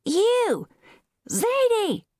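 A woman voicing a plain, brisk country mother in a storybook, with folksy rustic speech. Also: huge pitch swings, darkish tone, no room sound, clean studio sound, exaggerated voice. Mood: shrill calling. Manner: folksy rustic dialect, shrill when calling.

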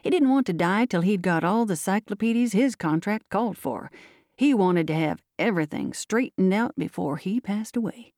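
A woman's voice: mid-range voice, lively intonation, normal speed, a little mellow, dry recording, clean studio sound, natural voice. A woman voicing a folksy old-time rustic storyteller in country dialect, drawing out a yarn about the neighbors. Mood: wistful reminiscing.

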